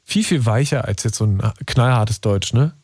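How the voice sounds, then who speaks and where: Host interviewer, man, mid pitch, curious and friendly questions, dry close sound. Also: expressive, moderate pace, darkish tone, slightly rough, no echo. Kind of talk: casual interview chat.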